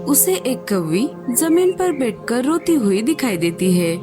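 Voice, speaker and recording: A woman's voice: on the high side, big pitch swings, a little slow, music in the background. A woman giving scripted storybook narration for a cartoon.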